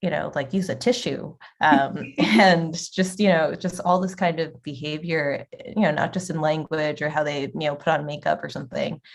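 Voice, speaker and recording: Low gravelly woman. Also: fairly even intonation, moderate pace, hardly any pauses. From Boston area, USA. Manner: rambling, many fillers and restarts. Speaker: restaurant owner, guest. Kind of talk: casual interview conversation.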